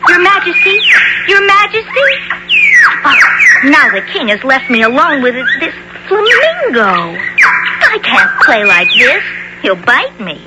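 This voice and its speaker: A woman's voice: high-pitched, wildly swooping pitch, a little slow, heavily acted. An actress playing an earnest, polite, easily exasperated young girl in an old-time radio drama, exclaiming and wide-eyed. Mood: anxious. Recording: noisy old radio broadcast.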